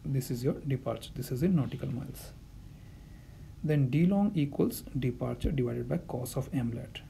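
Male tutor, mellow and gravelly, patient step-by-step delivery. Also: medium pitch, animated, normal speed, slight background noise. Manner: patient, step-by-step, repeats key points. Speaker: navigation instructor. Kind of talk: whiteboard-style tutorial explanation.

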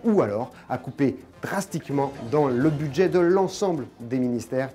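A man's voice: medium pitch, expressive, normal speed, dark tone, soft background music. A man in his forties, an economics journalist giving an emphatic, step-by-step explanation to camera on a lapel mic. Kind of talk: explanatory news commentary to camera.

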